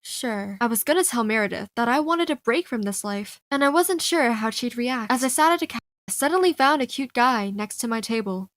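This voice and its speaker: A young adult woman doing dramatic first-person storytelling for a cartoon fandub, expressive and theatrical. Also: fairly high pitch, fairly quick, no echo, studio quality. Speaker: fan dub voice actor.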